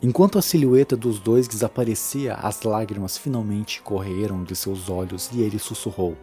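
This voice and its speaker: A man's voice: on the low side, moderate pace, mellow, soft background music. A man giving a solemn, dramatic scripted narration for a horror audio drama.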